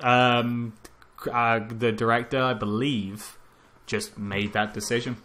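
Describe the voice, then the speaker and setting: A man's voice: medium pitch, level tone, slow pace, bright, forceful tone. A man co-hosting a podcast in casual, mocking chat, swearing freely and recapping with sarcastic mock drama. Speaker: podcast co-host.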